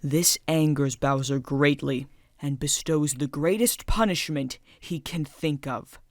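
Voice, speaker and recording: A man's voice: fairly high pitch, a little slow, no echo, clean studio sound. A man reading scripted storybook narration as the narrator of a dramatised tale.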